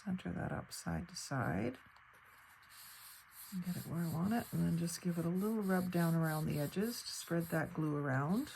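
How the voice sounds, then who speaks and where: A woman with a slightly hoarse, crackly voice, thinking aloud in a casual crafting tutorial at her table. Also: lowish voice, a little mellow. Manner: thinks aloud while working, unhurried and chatty. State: slightly hoarse, fighting off a cold. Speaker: craft channel host.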